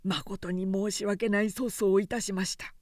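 A woman's voice: mid-range voice, steady tone, moderate pace, darkish tone, no room sound, clean studio sound, lightly acted. A woman reading as a meek, apologetic young samurai son-in-law in a story, polite, earnest and hesitant. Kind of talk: dramatic audiobook character reading.

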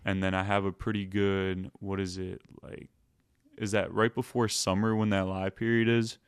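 A young man, a podcast guest, telling his own story in a relaxed way with lots of "like" fillers. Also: deep voice, normal speed, clean tone, no echo, clean studio sound. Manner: laid-back, heavy use of "like" fillers. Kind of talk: casual storytelling.

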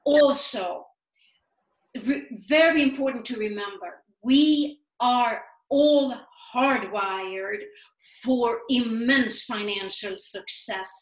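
A woman, a life coach, talks with upbeat, earnest energy in a casual interview. Her voice sits a little high. The room around her is echoey. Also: slow. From Sweden. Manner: upbeat, earnest, spiritual phrasing.

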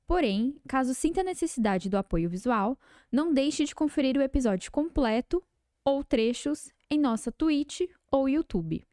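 A woman's voice: high-pitched, expressive, normal speed, warm tone, no room sound. Woman, Brazilian accent, scripted narration, podcast announcer, dry recording.

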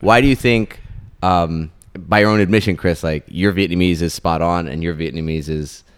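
A man's voice: low voice, moderate pace, smooth. A man hosting an interview, asking curious, carefully worded questions. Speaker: host, interviewer.

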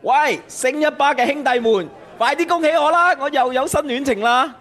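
An actor playing an excitable, earnest, lovelorn young bachelor in a radio drama, with a Hong Kong accent. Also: male voice, very high voice, normal speed, music in the background. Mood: elated, boastful. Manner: animated, earnest, easily flustered. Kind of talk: Cantonese radio drama acting.

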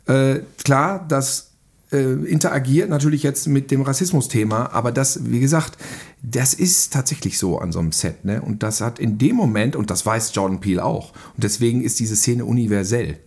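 A man with a husky, mid-pitched voice talks film as a podcast guest. He is conversational and animated, often checking in with little tag questions. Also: normal speed. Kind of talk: casual film discussion.

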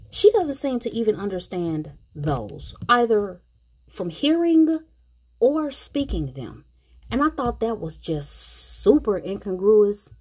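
A woman giving casual, frank commentary on a TV show, thinking out loud as she goes. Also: mid-range voice, breathy edge. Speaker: show reviewer.

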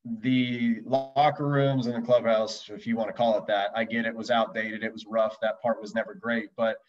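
A man's voice: fairly low pitch, level tone, normal speed. A man reminiscing casually as an interview guest in a conversational way. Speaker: interview guest, former relief pitcher. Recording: dull lo-fi audio, distant from mic.

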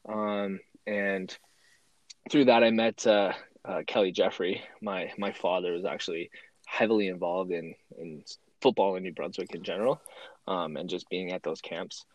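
A young man from Canada with a deep, low voice tells his story as a guest on a sports interview. He speaks in a relaxed, thoughtful way with frequent "um"s. He is recorded close and dry, with no room echo. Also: normal speed. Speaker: guest, former university football player.

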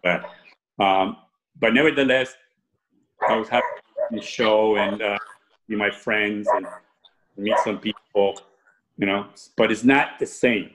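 A middle-aged man from Cuba talks about his work in a relaxed interview. His voice sits low, and he wanders through his thoughts with restarts and fillers. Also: a little slow, long pauses, rough recording. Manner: rambling, many restarts and fillers. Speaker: artist being interviewed. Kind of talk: casual interview conversation.

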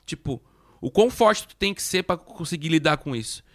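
A man co-hosting a podcast who talks in an animated, informal way and leans on filler words. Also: fairly high pitch, moderate pace. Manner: animated, informal, repeats "tipo". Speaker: podcast co-host. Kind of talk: casual podcast conversation.

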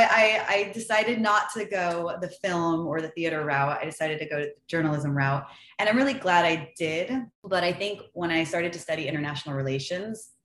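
Low-voiced American woman in an echoey room. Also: fairly bright tone. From Los Angeles area, California. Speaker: podcast guest, TV host. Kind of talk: conversational interview answers, personal storytelling. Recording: echoey room with faint hum noise.

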